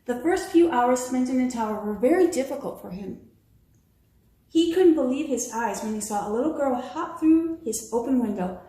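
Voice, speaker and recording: A woman's voice: high-pitched, expressive, moderate pace, warm tone. An American woman reading a bedtime story expressively to children, in a roomy, echoing home recording. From Michigan, United States. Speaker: storybook reader.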